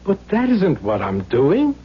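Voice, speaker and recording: A man's voice: fairly high pitch, wildly swooping pitch, a little slow. An actor playing a troubled, self-doubting husband in an old-time radio drama, plaintive and given to repeating his words. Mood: weary, plaintive. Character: troubled, self-doubting husband who turns bitter and defiant. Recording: muffled, noisy old radio recording.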